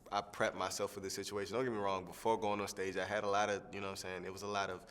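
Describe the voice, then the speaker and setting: A young man, a singing contestant, answering interview questions in a relaxed, rambling way with lots of fillers. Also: sits low, racing, clear, resonant tone, big-room sound. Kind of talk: press junket soundbite interview.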